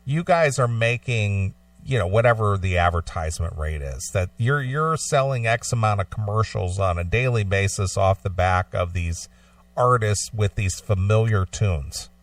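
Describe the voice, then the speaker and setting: A man co-hosting a casual, opinionated radio discussion, explaining his points haltingly and often repeating phrases. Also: on the low side, no room sound, far from the mic. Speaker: talk show co-host.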